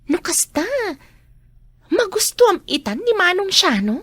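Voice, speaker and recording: A woman's voice: very high voice, very animated, measured pace, a bit bright, no echo, full character voice. A woman playing a mother talking with her grown daughter in an Ilocano radio drama. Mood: probing.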